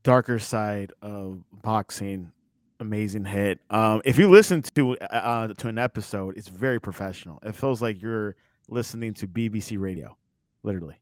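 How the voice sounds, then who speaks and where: A man's voice: on the low side, moderate pace, no echo, studio quality. A man interviewing a podcaster, asking short questions in a casual, enthusiastic way.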